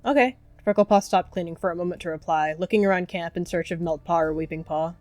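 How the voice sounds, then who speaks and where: A woman's voice: on the low side, fairly even intonation, fast talker, a little mellow, no room sound. A woman narrating a character's actions in a storylike roleplay. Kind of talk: scripted-sounding roleplay narration.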